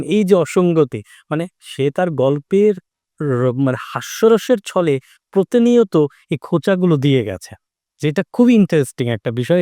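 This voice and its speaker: A man's voice: on the high side, mellow. A man speaking as a panelist in a literary discussion, explaining his points and illustrating them with quotes. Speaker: discussion panelist and audio drama maker.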